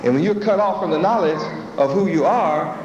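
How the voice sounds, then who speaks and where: A man's voice: on the high side, brisk, a crowd in the background. A man preaching a sermon with rhetorical questions and a repetitive cadence. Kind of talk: sermon with acted dialogues. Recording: echoey hall, dull lo-fi sound.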